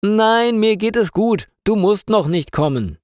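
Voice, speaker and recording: A man's voice: high-pitched, expressive, measured pace, darkish tone, dry recording, studio quality, pitched above the performer's own voice. A man voicing a flustered, hesitant eight-year-old schoolgirl in a storybook reading. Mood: flustered, reassuring.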